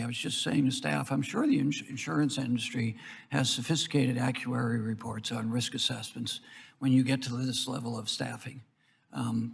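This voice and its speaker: An American man speaking as a congressman, questioning witnesses in a halting, circling way with a husky, gravelly voice in a reverberant hearing room. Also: mid-range voice. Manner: halting, circling, with broken-off phrases. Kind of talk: rambling committee questioning. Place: large indoor committee hearing room.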